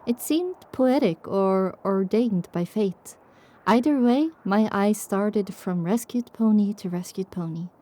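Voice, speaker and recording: Woman, audio drama narrator, dark mellow timbre, medium pitch, scripted storytelling. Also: takes it slowly.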